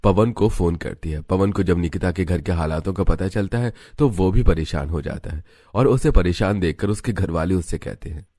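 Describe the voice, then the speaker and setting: A man's voice: low voice, normal speed, dark tone, no echo, clean studio sound. A man reading scripted narration for a family story.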